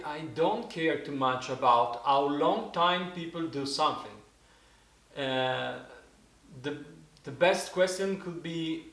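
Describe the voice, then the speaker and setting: Man, forties, Italian accent, slow and halting, slightly high voice, echoey room. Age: 40s. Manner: halting, searching for words, many restarts. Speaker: didgeridoo teacher. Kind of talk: casual spoken lesson.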